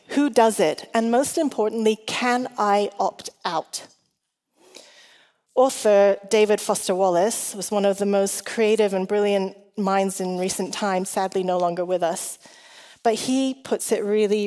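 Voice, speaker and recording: A woman's voice: mid-range voice, darkish tone. Woman preaching in a long-reverb hall. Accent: mixed international, shifting. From Hong Kong. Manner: chatty, self-deprecating humour. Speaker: guest preacher. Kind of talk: conversational sermon to a congregation.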